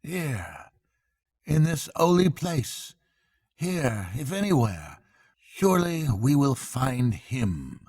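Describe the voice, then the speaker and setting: A man's voice: medium pitch, very animated, slow, a little mellow, husky, pitched above the performer's own voice, full character voice. A man voicing a rapt, joyous water rat in a storybook, exclaiming with breathless, reverent wonder. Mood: hushed awe. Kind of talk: storybook character voice.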